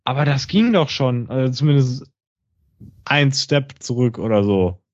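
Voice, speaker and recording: A man's voice: medium pitch, a little slow, no echo, lo-fi sound. A man co-hosting a podcast, chatting casually in a dry, self-mocking way. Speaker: podcast co-host.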